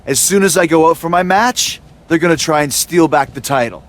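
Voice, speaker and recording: An actor playing a smug, scheming wrestling villain, sarcastic and self-important, in a video game cutscene. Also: male voice, a little high, fairly quick, natural voice.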